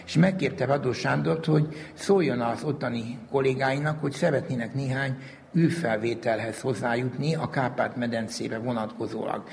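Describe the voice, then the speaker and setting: A man explaining and reminiscing in long, detailed, measured sentences, like an interviewee telling history. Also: medium pitch, creaky, a little mellow. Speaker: interviewee recalling the history of maps. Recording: reverberant hall with faint background noise.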